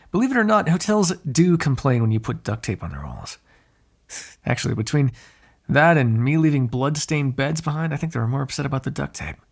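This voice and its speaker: A man's voice: medium pitch, creaky. A man playing a sarcastic, flirtatious vampire in an audio roleplay, teasing and swearing casually. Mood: casual, wry. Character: snarky, flirtatious, insatiable male vampire on the run. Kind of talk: ASMR roleplay acting, one side of a dialogue.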